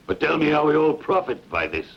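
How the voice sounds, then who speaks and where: Casting: a bellowing, ruthless warlord father, impatient schemer, animated and fairly high-pitched, dubbed epic. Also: fairly quick, vocal fry, breathy edge, exaggerated voice. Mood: commanding. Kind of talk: dubbed sword-and-sandal film dialogue. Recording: muffled lo-fi dub with faint noise.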